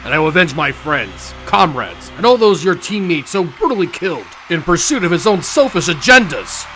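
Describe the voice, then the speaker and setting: A man's voice: a little high, sing-song, moderate pace, bright, forceful tone, faint music behind, low-quality recording. A man playing a vengeful, anguished tournament fighter in a visual novel, bitter and impassioned. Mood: bitter, righteous. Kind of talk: acted visual novel dialogue.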